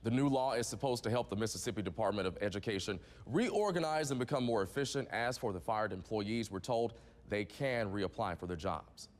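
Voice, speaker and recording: A man's voice: fairly low pitch, expressive, a little fast, in a large hall. A man with an American accent reading scripted morning television news as the anchor. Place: TV news studio.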